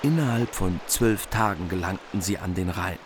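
A man giving calm, solemn scripted narration of an old heroic tale. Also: low-pitched, normal speed, noisy background.

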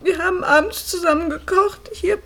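A woman's voice: very high-pitched, moderate pace, a bit bright. An actress playing a shaken, grieving young woman in a naturalistic crime drama. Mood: subdued grief. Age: young adult.

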